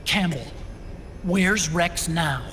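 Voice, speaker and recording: A man's voice: fairly high pitch, very slow, bright, forceful tone, heavily acted. A man playing an anxious, earnest tech expert and loyal friend in a video game, speaking insistently. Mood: tense, realizing. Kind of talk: dramatic video game acting. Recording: noisy vehicle ambience.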